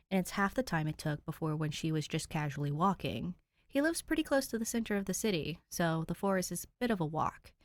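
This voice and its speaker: Animated woman reading a story. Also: deep voice, dry recording, studio quality. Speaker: podfic reader. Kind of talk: reading fan fiction aloud.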